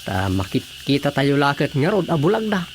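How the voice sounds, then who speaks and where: A man's voice: on the high side, very animated, normal speed, slight background noise. A man playing a doubting, gossipy villager in an Ilocano radio drama comedy. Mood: suspicious.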